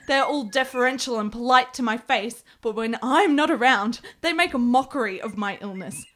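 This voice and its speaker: An actress playing an ailing, bitter and morbid young gentlewoman in a period radio drama, with formal, dramatic delivery. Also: fairly high pitch, normal speed, no echo, lightly acted. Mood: bitter, defensive.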